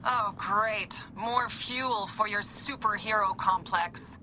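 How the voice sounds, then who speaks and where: A woman's voice: mid-range voice, takes it slowly, bright, forceful tone, a little hiss or hum, poor audio. An actress playing a cautious, worried female technician with a wry, sarcastic streak in a video game cutscene. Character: anxious, cautious woman technician, sarcastic partner.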